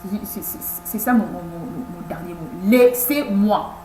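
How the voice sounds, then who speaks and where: A woman in her thirties recording a personal video message, halting and repeating words, insistent and pleading. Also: medium pitch, warm tone. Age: 30s. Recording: echoey room with faint hum.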